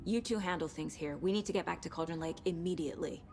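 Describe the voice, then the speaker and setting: A woman's voice: on the low side, fast talker, background noise, lightly acted. A woman playing a resolute, analytical detective in a horror game, speaking seriously and with purpose. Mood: focused, serious. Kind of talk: cinematic game dialogue.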